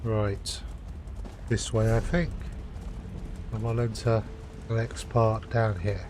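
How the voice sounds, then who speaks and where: A man giving casual, low-key commentary as he streams a video game. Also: level tone, slow pace, noisy background. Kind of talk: casual live gameplay commentary. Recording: game music behind voice. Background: video game music and sounds.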